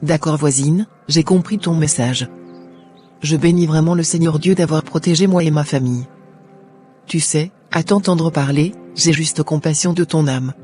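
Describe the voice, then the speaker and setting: Man voicing a high-pitched devout cartoon mother. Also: normal speed, dark tone, faint music behind, natural voice. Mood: earnest, forgiving. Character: caring, devout mother and forgiving neighbour.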